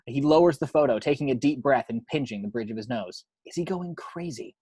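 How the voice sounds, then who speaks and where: Husky guy reading screenplay action lines, narrator style, no room echo. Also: medium pitch, a little fast, warm tone.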